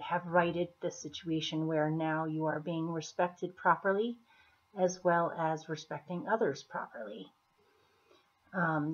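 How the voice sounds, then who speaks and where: A woman with a slightly hoarse, scratchy throat, giving a casual tarot reading in an unhurried, deliberate manner with pauses as she explains the cards. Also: fairly low pitch. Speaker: tarot reader. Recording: dull, muffled lo-fi audio.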